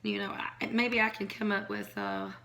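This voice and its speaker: A hoarse woman, chatting casually as she shows her home cooking in a kitchen. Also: mid-range voice, level tone, normal speed, bright, forceful tone. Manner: chatty, rambling, folksy. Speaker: home cook. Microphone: phone or camera on counter. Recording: noisy home recording.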